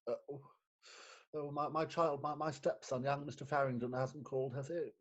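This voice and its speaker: Guy acting a dithering guilty magistrate in a stage farce, husky and stammery, exclaims a lot, dull lo-fi sound. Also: medium pitch, little pitch movement, moderate pace, natural voice. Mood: anxious. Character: flustered, guilt-ridden, respectable London magistrate, disheveled after a night out. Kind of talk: theatrical comic monologue, staged reading. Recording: dull lo-fi remote recording.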